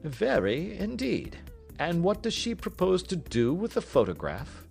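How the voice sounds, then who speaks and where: A man voicing a cool, unruffled gentleman detective with a polite, airy, precise manner, in an audiobook story. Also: high-pitched, huge pitch swings, unhurried, creaky, soft background music, voice pushed up, full character voice. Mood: cool, probing.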